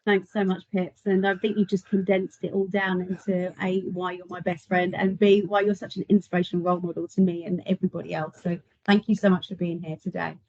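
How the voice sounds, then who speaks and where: A woman's voice: on the low side, level tone, fairly quick, hardly any pauses, darkish tone, no room sound, highs cut off. A woman hosting an online panel, upbeat as she thanks and introduces each speaker. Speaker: panel host. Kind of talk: moderating a panel.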